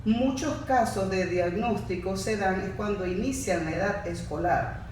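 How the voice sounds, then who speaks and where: A woman, a facilitator explaining child development in a casual panel talk, full of restarts and lively examples. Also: medium pitch, a little slow, a little hiss or hum. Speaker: diploma facilitator, podcast guest. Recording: echoey room, far from mic.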